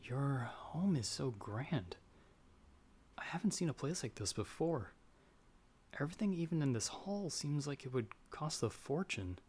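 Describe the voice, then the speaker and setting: A man's voice: mid-range voice, big pitch swings, measured pace, a little mellow, slightly rough. A man playing a humble young farmer's son in an audio roleplay, speaking hesitantly with courteous, old-fashioned formal phrasing. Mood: awed, shy. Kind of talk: ASMR audio roleplay acting.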